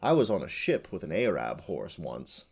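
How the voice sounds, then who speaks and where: A man voicing a quiet old countryman in a storybook, speaking slowly and reminiscently. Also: sits low, huge pitch swings, creaky, slightly rough, exaggerated voice. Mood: quiet reminiscence. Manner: quiet, slow.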